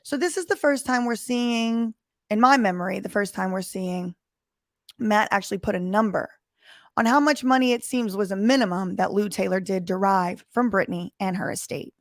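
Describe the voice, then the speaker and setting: A woman lawyer with a hoarse, weakened voice, reading court papers aloud and explaining them emphatically in plain terms. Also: mid-range voice, normal speed, no room sound, clean studio sound. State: recovering from lost voice. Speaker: lawyer commentator. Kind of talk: reading and commenting on court documents.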